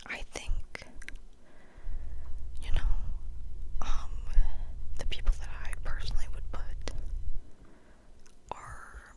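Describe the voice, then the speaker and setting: A woman's voice: slow pace, long pauses. Whispering woman doing rambly ASMR. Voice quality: breathy whisper. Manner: playful mock-serious, casual, often adding "okay?" and "right?". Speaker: ASMR video host.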